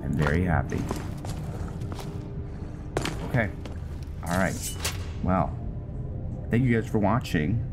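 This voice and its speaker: A man giving casual Let's Play commentary, thinking aloud and explaining things to his viewers, with loud music behind him. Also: sits low, expressive, slow, long pauses. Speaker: gaming video host. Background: loud game music.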